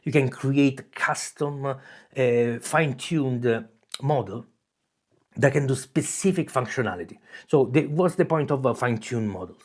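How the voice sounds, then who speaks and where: A man's voice: mid-range voice, lively intonation, moderate pace, darkish tone, slightly rough. A man from Italy with an Italian accent, hosting his own channel and talking through ideas in a loose monologue, restarting phrases and often checking in with "okay?". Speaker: channel host. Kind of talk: casual explanatory monologue.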